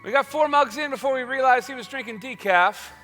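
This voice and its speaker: A man's voice: very high-pitched, brisk, pushed and bright, faint music behind. A man hosting a stage show, telling a comic story with a showman's delivery and repeated phrases. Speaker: show host, comic monologist. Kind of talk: stage comedy storytelling monologue.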